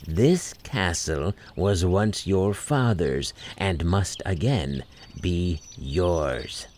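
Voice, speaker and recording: Storybook fairy lady, read by a man, solemn, deliberate, slow pace, music beneath. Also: deep voice, lively intonation, a little mellow, heavily acted. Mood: solemn, urging. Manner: grave, deliberate. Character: solemn, mysterious fairy lady guiding a hero.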